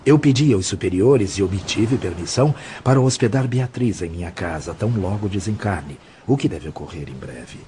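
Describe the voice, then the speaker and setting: A low male voice, solemn and deliberate, portrays an actor's version of a grave, compassionate spirit mentor. It is eloquent Brazilian audio drama speech, with some noise and ambience behind it. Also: expressive, dark tone, close to an everyday voice. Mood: kindly. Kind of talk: scripted audio drama monologue.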